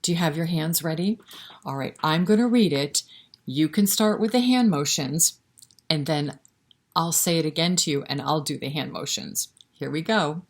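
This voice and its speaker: A woman with a fairly low voice reads for a children's storytime. She speaks straight to young listeners, encouraging them to join in, with a rhythmic feel suited to nursery rhymes. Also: normal speed. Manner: encouraging, interactive, talking directly to young children. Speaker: children's library storytime reader.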